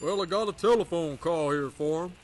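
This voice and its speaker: Man as a folksy, higher-voiced railyard foreman. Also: fairly quick, natural voice, poor audio. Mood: businesslike. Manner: plain-spoken, businesslike, folksy. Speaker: actor. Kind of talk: old time radio acting.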